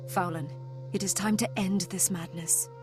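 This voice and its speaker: Firm, earnest actress as a resolute heroine. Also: female voice, lowish voice, steady tone, normal speed, close to an everyday voice. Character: resolute plant-woman heroine confronting an old companion. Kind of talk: video game cutscene acting. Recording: game audio under loud music.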